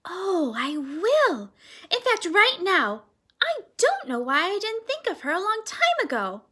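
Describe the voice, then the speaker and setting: A woman voicing a gentle, patient mother in a read-aloud storybook. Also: extremely high pitch, huge pitch swings, measured pace, voice pushed up, heavily acted. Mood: motherly. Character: warm patient middle-aged mother.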